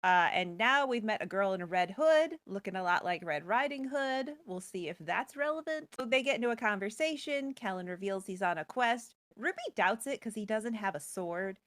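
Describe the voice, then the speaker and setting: A woman's voice: mid-range voice, lively intonation, normal speed, few pauses, a bit bright, no echo. A woman co-hosting a storytelling show, recapping a tale casually with wry, playful asides. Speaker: co-host storyteller.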